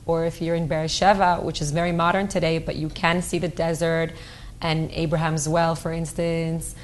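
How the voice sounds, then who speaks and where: A woman guest on a talk show, speaking in an earnest, measured way. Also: low-pitched, a little hiss or hum. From Israel. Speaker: guest emissary. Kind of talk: interview on local talk show.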